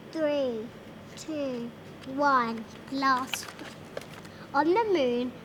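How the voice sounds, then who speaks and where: A little girl of about five reads a storybook aloud in a high voice. She goes slowly and haltingly, working through words with care. There is clear background noise on the amateur recording. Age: child, about five. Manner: halting, sounding out words carefully. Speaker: young reader. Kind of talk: reading a children's storybook aloud.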